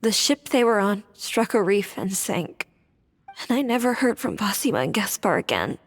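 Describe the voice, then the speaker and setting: Sad dreamy young woman, game dialogue, soft breathy voice, big echoey reverb. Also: mid-range voice, big pitch swings, moderate pace. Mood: sorrowful. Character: wistful grieving young woman clinging to her dream. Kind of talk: video game voice acting. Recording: long dreamlike hall reverb.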